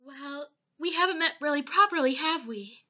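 A woman's voice: high voice, expressive, normal speed, sharp tone, dull audio, soft background music. A woman voicing an earnest, innocent, emotional Kansas farm girl in a theatrical classic-film dub. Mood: curious. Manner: earnest, girlish, pleading.

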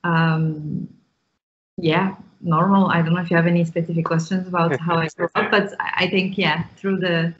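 A woman's voice: fairly low pitch, level tone, moderate pace. Woman from Morocco on a muffled video call. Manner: thoughtful, with many ums and restarts, gestures with her hands. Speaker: guest. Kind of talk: casual interview talk. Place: small room indoors. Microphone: video call with earphones.

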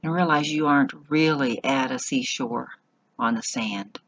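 A woman leading a guided meditation in short, measured phrases with long pauses between them. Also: extremely low pitch, a bit bright.

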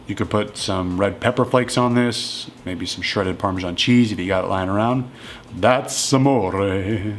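A man in his thirties casually walking through home meals in his kitchen, relaxed and matter-of-fact. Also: fairly low pitch, slight background noise. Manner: relaxed and matter-of-fact, plain step-by-step talk. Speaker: strength coach cooking at home. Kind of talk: casual cooking walkthrough.

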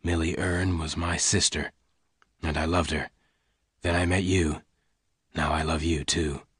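A man voicing a calm, slow-talking gunfighter of the Old West in an audiobook, gentle but hard-edged. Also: rumbling low, slightly rough, no echo, clean studio sound, pitched below the performer's own voice, heavily acted. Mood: calm, hurt. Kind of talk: audiobook character dialogue.

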